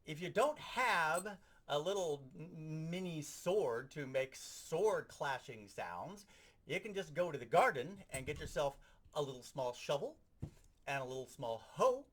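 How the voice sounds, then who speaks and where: Animated, higher-voiced man giving a hands-on demo. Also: a little slow. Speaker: sound effects instructor. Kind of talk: hands-on instructional demonstration.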